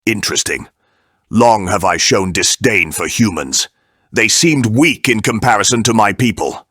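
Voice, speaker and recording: Man as a low-voiced proud warrior god. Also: expressive, measured pace, a bit bright, breathy edge, no room sound, clean studio sound, heavily acted. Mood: haughty. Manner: grave, haughty, formal. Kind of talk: fan-made video game voice acting.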